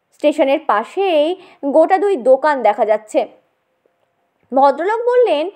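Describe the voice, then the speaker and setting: A woman narrating a comic story in an expressive, dramatized reading style. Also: very high-pitched.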